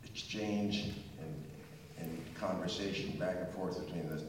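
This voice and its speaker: A man's voice: low-pitched, fairly even intonation, measured pace, background noise. An older man giving a chatty, digressive music history talk before a concert, with asides and a few hummed bits of melody. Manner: chatty and digressive, sings snatches of tunes. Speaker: pre-concert speaker. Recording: echoey hall from far off.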